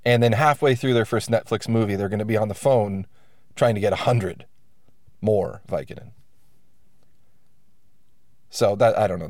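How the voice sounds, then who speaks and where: A man with a lowish voice hosts his podcast in a loose, self-deprecating ramble, his nose stuffed up from allergies. He's close on a dry mic with no room sound. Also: dry recording. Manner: wry, self-deprecating, many restarts and fillers. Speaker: podcast host. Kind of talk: casual rambling monologue.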